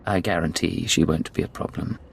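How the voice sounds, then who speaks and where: An actor playing a composed imperial intelligence agent in a video game, formal and controlled in speech. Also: male voice, sits low, fairly even intonation, fairly quick, gravelly, slight background noise. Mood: firm, reassuring.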